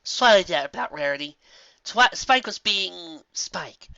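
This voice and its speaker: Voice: mid-range voice, lively intonation, measured pace, creaky, pushed and bright, dry recording, highs cut off, voice pushed up, heavily acted. A performer voicing an earnest, bossy female unicorn who gives precise, scolding instructions. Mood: businesslike.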